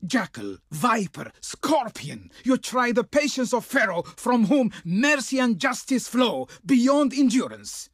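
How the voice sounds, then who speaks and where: An actor playing a haughty, pompous envoy of an ancient Pharaoh in a video game, speaking in ornate, declamatory style. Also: male voice, high-pitched, very animated, measured pace, darkish tone, breathy edge, no echo, studio quality, exaggerated voice. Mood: indignant. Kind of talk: theatrical video game voice acting.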